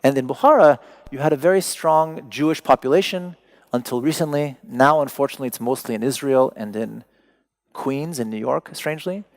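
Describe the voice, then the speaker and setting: Casting note: gravelly-voiced man, native Persian speaker, lecturing with dry humor. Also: medium pitch, a little fast. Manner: wry, digressive, joking asides. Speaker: artist giving a talk. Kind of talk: lecture to an audience.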